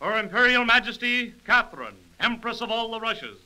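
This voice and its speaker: Very high-pitched pompous court herald. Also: male voice, animated, a little fast, music in the background, rough recording. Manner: proclaiming, stately, pompous. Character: formal, ceremonious royal court chamberlain announcing guests. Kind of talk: old-time radio drama acting. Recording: 1930s radio recording, loud fanfare.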